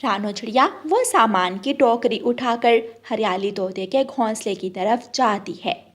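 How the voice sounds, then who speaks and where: High-pitched, lively woman storyteller. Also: measured pace. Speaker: narrator. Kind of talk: scripted storybook narration for a cartoon.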